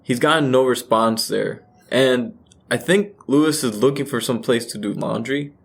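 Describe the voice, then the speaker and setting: Man playing easygoing gossipy housemate. Creaky, mid-range voice, matter-of-fact radio drama dialogue. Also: mid-range voice, moderate pace. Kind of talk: naturalistic radio play dialogue.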